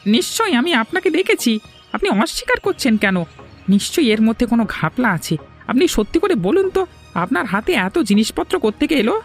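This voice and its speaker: Man acting a suspicious, high-voiced village lad. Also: very animated, fast talker, soft background music, full character voice. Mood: accusing. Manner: accusing, insistent. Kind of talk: cartoon voice acting.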